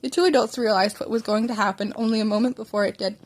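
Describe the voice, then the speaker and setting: A woman with a fairly high voice reads a story aloud. Her delivery is informal and amateur, with stumbles, self-corrections and casual asides. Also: fairly even intonation, quick pace. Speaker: fan fiction reader and narrator.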